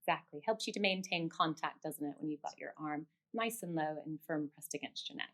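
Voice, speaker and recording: A woman with a low voice explains a technique step by step in the manner of a speech therapist. She is encouraging and affirming as she instructs. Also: brisk, fairly bright tone.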